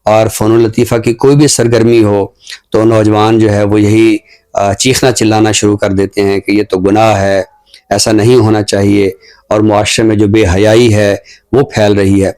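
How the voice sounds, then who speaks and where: A man giving thoughtful, earnest commentary on a talk show in a measured, phrase-by-phrase way. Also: on the low side, flat delivery, a little mellow, smooth.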